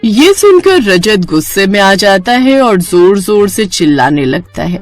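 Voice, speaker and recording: Expressive woman narrator, lively pitch. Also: unhurried. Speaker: story narrator. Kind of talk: scripted narration of a cartoon story. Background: faint music.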